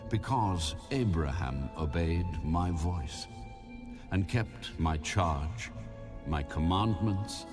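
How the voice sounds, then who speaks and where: A man voicing a solemn, commanding God in a dramatized audio Bible, speaking slowly and deliberately with a low, weighty delivery. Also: animated. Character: God, male, solemn, commanding, promising blessing. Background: music.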